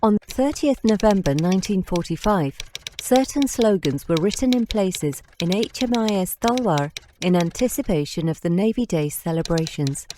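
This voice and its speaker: A woman's voice: mid-range voice, expressive, normal speed, warm tone, slight background noise. Woman reading an official report. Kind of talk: formal scripted reading.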